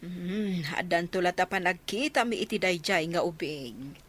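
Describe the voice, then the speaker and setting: A woman's voice: lowish voice, normal speed, fairly bright tone. A woman playing a curious mother in radio drama dialogue. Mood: curious, reflective. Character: curious mother of a grown-up daughter.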